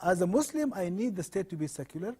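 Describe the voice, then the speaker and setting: Husky, high-voiced man from Sudan. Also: very animated, quick pace, warm tone. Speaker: guest, law professor. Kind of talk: interview answers.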